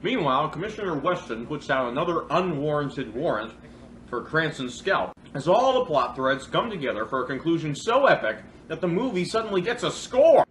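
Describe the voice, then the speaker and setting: Sarcastic man reviewing, animated pitch, roomy echo. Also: normal speed, slight background noise. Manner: sarcastic, mock-dramatic. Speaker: film reviewer and host. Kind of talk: comedic scripted film review. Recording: roomy echo with music underneath.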